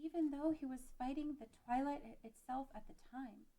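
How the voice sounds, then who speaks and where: A woman's voice: high-pitched, fairly quick, mellow, natural voice. A woman playing a compassionate, encouraging young heroine in an amateur fantasy table read, speaking earnestly. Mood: gentle curiosity. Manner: earnest, consoling.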